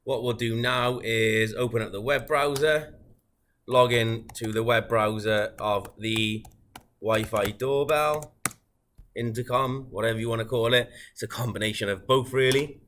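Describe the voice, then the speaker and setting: A man giving an informal, methodical, unscripted software walkthrough as a product demonstrator. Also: medium pitch, fairly even intonation, unhurried, slight background noise.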